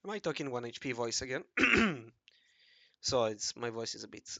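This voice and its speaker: Raspy, gravelly man murmuring as he draws. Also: mid-range voice, very animated, normal speed, pushed and bright, dry recording. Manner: mumbling and self-correcting, often murmuring half to himself. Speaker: hobby artist narrating his drawing session. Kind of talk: casual think-aloud commentary while drawing.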